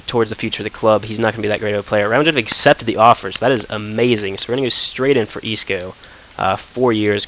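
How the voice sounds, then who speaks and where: A man's voice: fairly low pitch, fairly bright tone, rough recording. Young man rambling over a football game. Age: young adult. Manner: rambling, thinks aloud with many fillers. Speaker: gaming commentator. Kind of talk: casual gameplay commentary.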